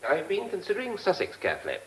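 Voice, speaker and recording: A man's voice: high-pitched, wildly swooping pitch, rapid-fire, fairly bright tone, husky, heavily acted, rough recording. A man playing a polite, keen, scheming British civil servant in a radio drama, speaking precisely. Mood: keen, plotting. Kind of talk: mid-century British radio drama acting.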